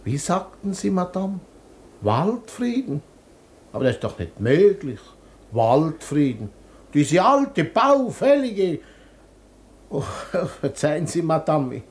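Animated, gushing male hairdresser. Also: on the high side, takes it slowly. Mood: startled, backpedalling. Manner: effusive, obsequious. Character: chatty, flattering male hairdresser from Lyon, gushing and genteel. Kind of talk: German radio play acting.

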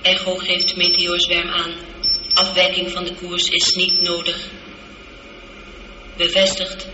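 An actress playing a female spaceship computer in a radio drama, formal, measured, literal and calmly reassuring. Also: on the low side. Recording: dull, noisy, echoing old radio recording.

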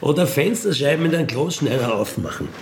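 A middle-aged man with a Bavarian accent tells first-person anecdotes casually, rambling along with colloquial tag questions and throat clearing. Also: fairly high pitch, animated, fast, a little hiss or hum. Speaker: storyteller, self-described burglar.

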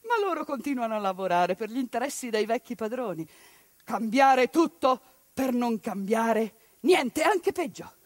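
A woman performing an impassioned theatrical monologue, switching between outbursts and hushed asides. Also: sits high, dry recording, studio quality. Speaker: stage actress.

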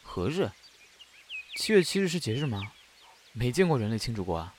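A man acting as an impulsive, huffy young man in an audio drama. His mid-pitched voice swings expressively as he grumbles bluntly and playfully. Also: big pitch swings, a little fast, slight background noise, lightly acted. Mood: curious, excited.

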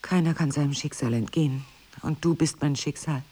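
Husky, earnest wife. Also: female voice, sits low, brisk, dark tone. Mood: fervent, solemn. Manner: earnest, insistent, dropping into whispers. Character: devoted, determined wife pleading with her husband to stay. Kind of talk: intimate dialogue-driven audio drama.

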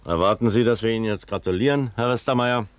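A male actor as a composed police captain with a very low voice. He speaks in a measured, dryly ironic way, in the manner of a classic German radio crime play. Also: no echo, natural voice. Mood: sober, questioning. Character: calm, dry East German police captain, male detective.

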